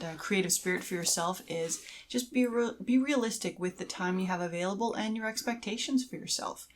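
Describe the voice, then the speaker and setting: A woman's voice: fairly low pitch, a little hiss or hum. A middle-aged woman chatting as a podcast co-host, agreeably explaining and giving casual advice. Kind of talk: casual conversational advice on creativity.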